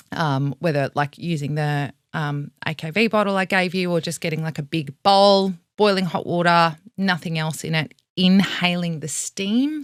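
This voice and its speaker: A woman's voice: low-pitched, vocal fry, no echo, studio quality. Frank, teacherly Australian woman coach. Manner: frank and teacherly, casual swearing. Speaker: vocal coach. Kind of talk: explaining vocal health in a casual interview.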